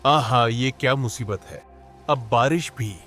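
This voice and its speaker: A man's voice: medium pitch, unhurried, a bit bright, soft background music, natural voice. A man voicing a poor, hardworking young village man who speaks in rustic village speech and exclaims often, in a cartoon story. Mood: fretful.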